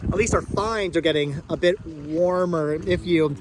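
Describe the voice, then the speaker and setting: A man's voice: on the high side, measured pace. A man chatting casually as a vlog host outdoors at a flea market, often repeating and doubling back on his phrases. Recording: noisy outdoor flea market location sound.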